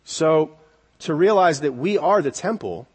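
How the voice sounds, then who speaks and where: A man preaching an expository sermon in an earnest, deliberate way, repeating key words for emphasis. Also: a little high, lively intonation, breathy edge. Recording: long reverberant hall echo.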